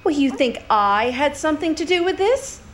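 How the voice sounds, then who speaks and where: Sultry noir dame, flirty, sharp tongue, highish lively voice, faint noise. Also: female voice, moderate pace. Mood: flirty, indignant. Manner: flirtatious, theatrical. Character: sultry, sharp-tongued female femme fatale. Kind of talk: noir comedy radio drama acting.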